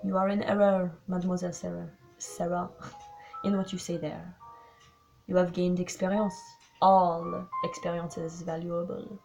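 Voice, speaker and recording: Smug elderly Belgian sleuth, read by a woman, courteous, French phrases, a bit halting. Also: fairly low pitch, flat delivery, vocal fry, a little mellow, soft background music, low-quality recording. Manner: polite, sprinkles French words, halting with fillers. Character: fussy elderly male Belgian detective, smug.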